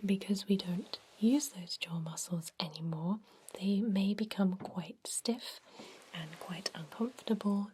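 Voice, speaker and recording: A woman whispering gently and breathily in an ASMR roleplay as a kindly vampire doctor, explaining things to a patient without hurry. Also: fairly low pitch, slight background noise.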